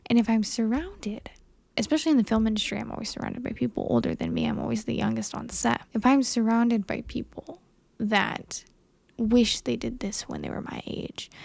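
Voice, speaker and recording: Woman, early 20s, casual vlogger. Higher-pitched with noticeable fry, candid and a bit self-conscious. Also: a little mellow. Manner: earnest, self-conscious, conversational. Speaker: vlogger, young filmmaker. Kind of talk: candid casual monologue to camera.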